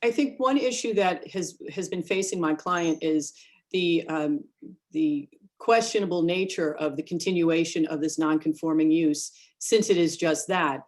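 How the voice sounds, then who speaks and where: A woman attorney making a formal argument for her client at a remote hearing, measured and a little hesitant. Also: sits low. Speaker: attorney for the applicant.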